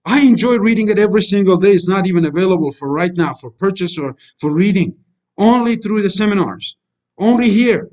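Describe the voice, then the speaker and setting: A man's voice: high voice, lively intonation, a little fast, darkish tone. A middle-aged man whose native language is Kurdish, lecturing as a life coach in a preachy, repetitive way and calling the listeners friends. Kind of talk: motivational voice-over lecture over slides.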